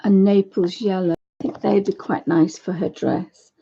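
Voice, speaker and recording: A woman with a croaky voice hosting a live painting session, chatting to viewers and thinking aloud as she works. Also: medium pitch, fairly even intonation, unhurried, warm tone, dull audio. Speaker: art channel host. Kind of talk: live painting demo chat.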